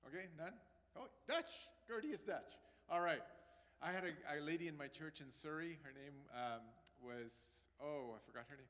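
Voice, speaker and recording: A man's voice: fairly high pitch, normal speed, fairly bright tone. A man in his sixties, born in Pakistan, preaching a sermon in an earnest, conversational way with asides to his congregation. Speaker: pastor. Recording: long hall reverb.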